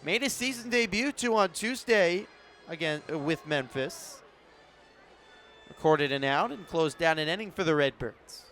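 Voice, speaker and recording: An American man with a fairly high voice works as a play-by-play announcer. His delivery is measured and can climb into excited calls. Faint outdoor stadium noise sits behind the broadcast. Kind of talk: sports radio and TV play-by-play commentary.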